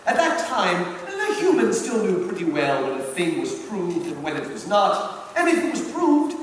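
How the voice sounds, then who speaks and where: A man voicing a suave, condescending senior devil who advises his young nephew in theatrical, drawn-out phrases, as in a dramatic reading. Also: sits high, wildly swooping pitch. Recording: very echoey hall from far off, faint noise.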